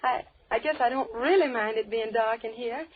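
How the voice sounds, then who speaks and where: A woman's voice: on the high side, normal speed, natural voice. An actress playing a timid, easily frightened young woman, hesitant and genteel, in an old radio horror drama. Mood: polite, hesitant. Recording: muffled, noisy old radio recording.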